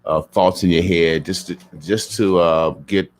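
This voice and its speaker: A radio host with a very deep voice. He speaks haltingly, filling his sentences with ums and restarts. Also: fairly even intonation. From Hilltop neighborhood. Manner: frequent backchannel, halting with fillers. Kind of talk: radio talk show conversation.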